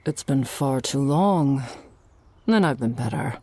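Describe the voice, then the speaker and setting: A woman acting an earnest, world-weary orca girl in an audio roleplay, with a gentle, measured delivery. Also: extremely low pitch, expressive, no room sound, heavily acted. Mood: friendly surprise.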